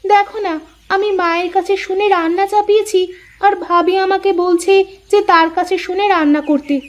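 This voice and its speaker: A woman's voice: very high-pitched, fairly even intonation, brisk. A woman voicing a dutiful young village daughter-in-law who stands her ground, in a Bengali cartoon story. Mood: aggrieved.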